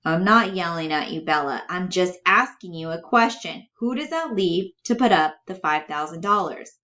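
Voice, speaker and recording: Woman playing domineering brother, blunt, sarcastic, suspicious, very animated delivery, stage drama reading, dull lo-fi sound. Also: on the low side, moderate pace. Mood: pressing, angry. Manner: blunt, sarcastic, hammering questions. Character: suspicious, domineering, sarcastic adult brother interrogating his sister.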